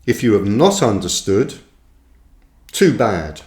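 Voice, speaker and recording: A man reading his own reflective book aloud like an audiobook, with deliberate, measured phrasing and pauses. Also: fairly low pitch, big pitch swings, a little mellow. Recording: roomy, echoing voice recording.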